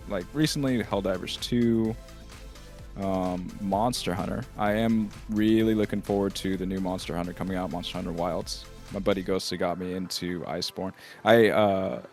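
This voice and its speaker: A man guest in a casual interview, talking in a hesitant, halting way. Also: low voice, creaky, a little mellow.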